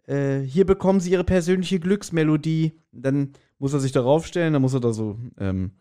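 A man co-hosting a podcast, telling anecdotes in casual, animated chat and acting out bits as he goes. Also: a little high, moderate pace. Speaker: podcast co-host.

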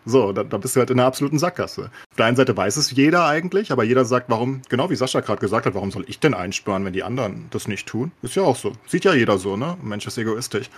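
A man's voice: mid-range voice, animated, very fast, few pauses, slightly rough. A man in a casual, opinionated discussion as a podcast co-host, talking colloquially with English swear words thrown in.

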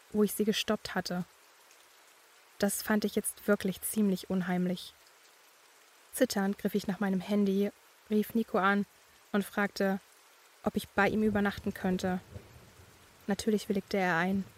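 Young female student narrator, read by an actress, medium pitch, flat intonation, measured eerie storytelling. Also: natural voice. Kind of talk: scripted first-person horror story narration.

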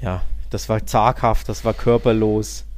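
A man's voice: lowish voice, steady tone, unhurried. A man hosting a football podcast, chatting casually and giving opinionated, colloquial commentary on the game. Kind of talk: casual football talk with live match commentary.

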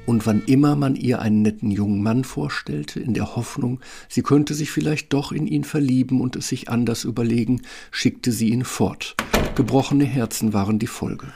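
A man's voice: mid-range voice, steady tone, normal speed, creaky, mellow, soft background music. A man reading a scripted fairy-tale narration for an audio play.

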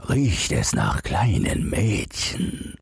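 A fearsome storybook giant, acted by a man, speaks slowly in a very rough, husky voice. He grumbles in a deep register. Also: huge pitch swings, no echo, clean studio sound, exaggerated voice. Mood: menacing. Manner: grumbling, slow. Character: fearsome but grudgingly hospitable male giant.

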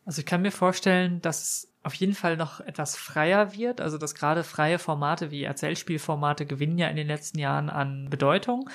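A man's voice: fairly high pitch, fairly even intonation, brisk, hardly any pauses, fairly bright tone. Man giving considered explanations. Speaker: podcast guest. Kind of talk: casual discussion.

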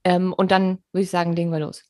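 Animated woman host, dry close sound. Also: on the low side, fast talker, no room sound. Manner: animated, stumbling over repeated words. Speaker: podcast host. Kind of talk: casual podcast conversation.

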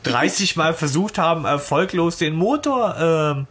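Man, slightly high-pitched, casual podcast banter, slangy, mocking retelling. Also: lively intonation, measured pace, a bit bright. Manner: mocking, slangy, acts out characters' lines. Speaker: podcast co-host.

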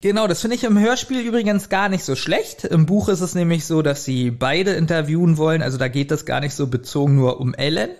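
Higher-voiced man in casual podcast chat. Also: brisk, hardly any pauses, a little mellow. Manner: chuckles often while talking. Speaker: podcast co-host. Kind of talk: casual chat reviewing an audio drama.

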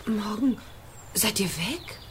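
A woman's voice: mid-range voice, expressive, slow, a little hiss or hum. An actress playing a smitten, anxious young witch girl in a children's audio drama. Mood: dismayed.

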